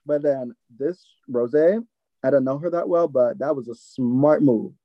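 A man with a Jamaican accent in casual chat, retelling his reactions in an animated way, on a slightly lo-fi recording. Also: mid-range voice, measured pace, no echo.

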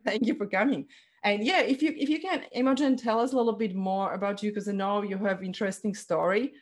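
A woman's voice: mid-range voice, a little fast. A woman hosting a casual interview in an encouraging, affirming way, with non-native English phrasing. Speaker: interviewer, host.

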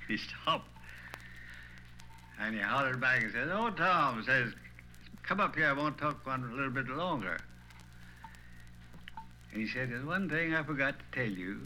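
An elderly man from Tennessee with a Southern drawl tells old stories in a very bright, sharp-edged voice. He is folksy and slips into the back-and-forth of remembered conversations. The recording is an old archival one with faint noise. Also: mid-range voice, animated, unhurried, pauses often, poor audio. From Tennessee, United States. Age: older. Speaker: retired small-town banker telling his memories. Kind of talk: oral history storytelling.

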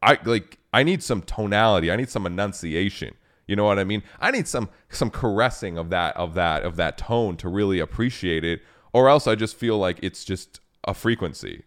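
Low-voiced man, swearing casually. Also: moderate pace. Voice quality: very low talking voice. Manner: swears often, animated and laughing. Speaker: podcast host. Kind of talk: casual chat.

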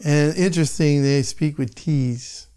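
An older man from Hawaii with a Hawaiian accent, a podcast guest talking in a slow, musing way that trails off into soft asides. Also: on the high side, fairly even intonation, smooth. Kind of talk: casual interview chat.

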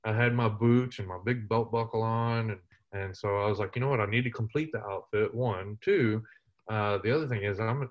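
Man, lighthearted storyteller, lowish voice, dull lo-fi sound. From West Texas. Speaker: interview guest, military veteran. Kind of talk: storytelling in casual interview.

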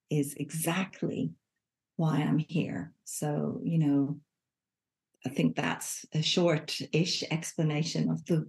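A woman's voice: sits low, dark tone. An older woman from Ireland, a spiritual teacher, speaking earnestly in a reflective conversation and often restarting her phrases.

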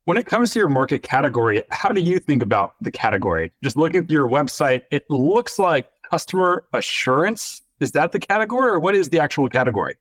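A man's voice: a little high, very animated, fairly quick, few pauses, no echo. A man hosting a business podcast, asking the guest questions. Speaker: podcast host. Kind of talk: interview questions.

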